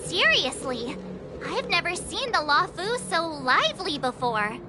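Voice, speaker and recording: A woman's voice: very high voice, very animated, a little slow, full character voice. A woman voicing a bubbly, teasing teenage girl traveler in a video game, chatty and playful. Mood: amazed. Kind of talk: English video game voice acting. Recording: game music behind the voice.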